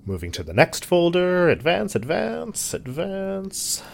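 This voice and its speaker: An eccentric sci-fi radio play scientist, stuffy formal diction, really animated pitch. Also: male voice, takes it slowly, vocal fry, heavily acted. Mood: earnest, grandiose. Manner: formal, theatrical, precise diction. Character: pompous, eccentric male scientist, dramatic and lonely. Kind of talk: scripted audio drama acting.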